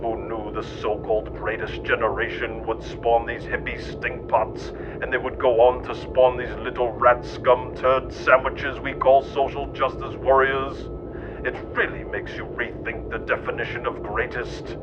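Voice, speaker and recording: A man's voice: little pitch movement, unhurried. A man narrating a satirical scripted monologue in a scornful, ranting manner. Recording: dull, noisy lo-fi recording with rumble.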